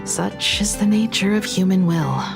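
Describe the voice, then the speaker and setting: Slow, calm, thoughtful companion. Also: female voice, mid-range voice, gravelly. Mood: calm, pensive. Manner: measured, formal. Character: calm, thoughtful, courteous adult female companion. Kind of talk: video game voice acting. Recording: music under the voice.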